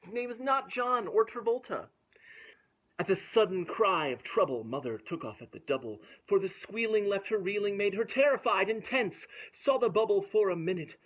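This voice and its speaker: A man with a fairly high, slightly husky voice reads aloud as a children's storyteller. He delivers rhyming picture-book text playfully and expressively, leaning dramatically on the sounds of the words for his young listeners. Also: a bit bright.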